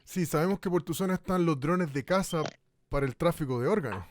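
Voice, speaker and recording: An actor playing a radio host in a radio drama, full of hesitations and fillers. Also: male voice, fairly high pitch, a little fast, no room sound, studio quality, lightly acted. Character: male radio host of a future broadcast.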